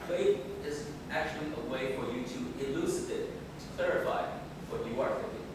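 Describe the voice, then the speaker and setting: A man's voice: medium pitch, normal speed. A man coaching students on debate, talking casually and animatedly and asking the room questions. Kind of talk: motivational talk to students. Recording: echoey room from far off, noisy.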